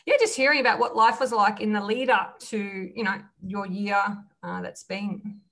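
A woman's voice: mid-range voice, fairly even intonation, moderate pace, gravelly, bright, forceful tone. A woman hosting an interview series over a video call, upbeat and encouraging, speaking from her living room. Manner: upbeat, encouraging, many short backchannels. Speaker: interview host. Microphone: video call with earphone mic.

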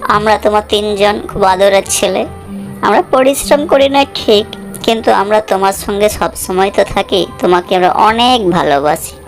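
A woman's voice: mid-range voice, normal speed, faint music behind, close to an everyday voice. A woman voicing an affectionate, idle farmer's son who pleads in a children's cartoon. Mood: pleading.

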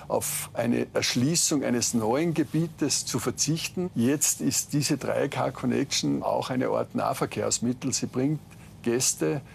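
A man's voice: mid-range voice, creaky, slight background noise. A middle-aged man, a cable car executive, answering factually with hesitant fillers inside a gondola. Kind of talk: interview.

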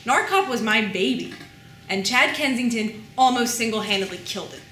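An actress playing a blunt, exasperated TV showrunner who complains in a mockumentary interview. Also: female voice, on the high side, moderate pace, pushed and bright, echoey room, a little hiss or hum. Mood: exasperated, resentful. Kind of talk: mockumentary interview comedy acting.

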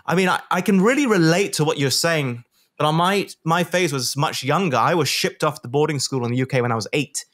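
A man's voice: a little high, a little fast, a bit bright. A man from Malaysia hosting an interview over a video call, chatty and effusive, speaking into a desk microphone. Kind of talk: friendly interview questions. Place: home studio, video call. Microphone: desk microphone on a boom.

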